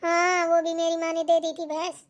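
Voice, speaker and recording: A woman's voice: very high voice, monotone, moderate pace. A woman voicing grumbling, gossipy village women in a family cartoon, talking in a colloquial, complaining way. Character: chatty village daughters-in-law and nagging mother-in-law, grumbling.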